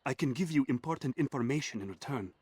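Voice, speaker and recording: A man's voice: mid-range voice, expressive, fast talker, darkish tone, breathy edge, dry recording. An actor playing a frightened, grateful male informant in a medieval video game, pleading and theatrical. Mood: anxious pleading.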